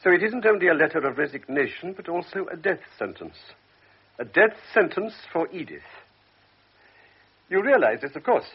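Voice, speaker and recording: A grave, persuasive doctor. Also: male voice, a little high, big pitch swings, moderate pace, breathy edge. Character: calm authoritative older male doctor. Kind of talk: radio drama acting. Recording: muffled old radio recording.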